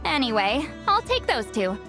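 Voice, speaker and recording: An actress voicing a proud, easily flustered shaman woman in a video game, youthful despite her centuries, huffy and expressive. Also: sits high, normal speed, over music. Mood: proud, enthusiastic. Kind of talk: English video game voice acting.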